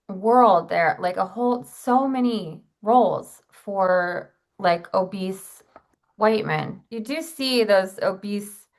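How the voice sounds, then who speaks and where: Condescending actress, played by a woman, mid-pitched, halting and pointed, self-important comedy dialogue. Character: self-important actress, condescending toward her fellow actor. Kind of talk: improvised-sounding comic dialogue.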